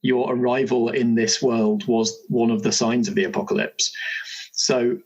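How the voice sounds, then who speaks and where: A man's voice: mid-range voice, steady tone, normal speed, darkish tone, husky, poor audio. A man hosting a roleplaying podcast, casually explaining game rules and ideas. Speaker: host, game compère.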